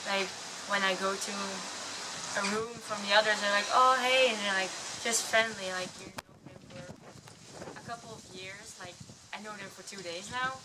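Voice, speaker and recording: A sixteen-year-old girl, a softball player, chatting eagerly with lots of fillers. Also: mid-range voice, fairly even intonation, moderate pace, background noise. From Netherlands. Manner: chatty teen, many fillers. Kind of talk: camp interview. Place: outdoor patio.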